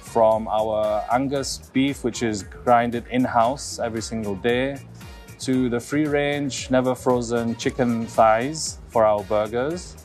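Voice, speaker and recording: A man's voice: medium pitch, steady tone, takes it slowly, a little mellow, faint music behind. A man in his thirties from Hong Kong, a restaurant co-founder, speaking to camera in a restaurant through a lapel mic. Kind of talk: to-camera interview segment. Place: restaurant dining room.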